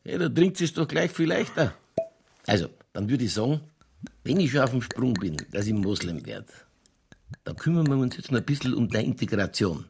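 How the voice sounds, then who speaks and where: A man playing a boozy, world-weary middle-aged police chief in a radio play, with a Lower Bavarian accent and a rambling, tipsy, cynically jovial delivery. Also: animated, normal speed, vocal fry, darkish tone, slight background noise. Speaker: actor in a crime radio play. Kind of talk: naturalistic German radio drama dialogue.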